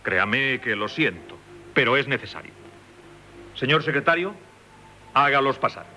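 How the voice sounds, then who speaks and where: Bright-voiced, insistent Spanish magistrate. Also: male voice, mid-range voice, expressive, normal speed. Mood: stern, official. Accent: Castilian Spanish. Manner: formal, probing, insinuating. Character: insistent, formally courteous examining magistrate, male. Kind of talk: 1970s Spanish radio theatre acting.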